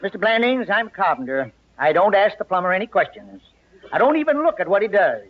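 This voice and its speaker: An actor playing an unbothered, unhelpful carpenter in a 1950s radio comedy. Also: male voice, sits high, very animated, a little fast. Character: unhelpful, union-minded carpenter, cheerfully matter-of-fact. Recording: dull, noisy old radio recording.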